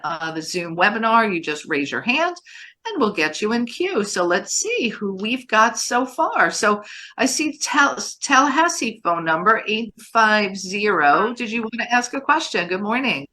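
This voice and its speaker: A woman's voice: mid-range voice, very animated, a little slow, pushed and bright. A woman in her sixties hosting a live online webinar, upbeat and chatty as she takes callers' questions. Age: 60s. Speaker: host.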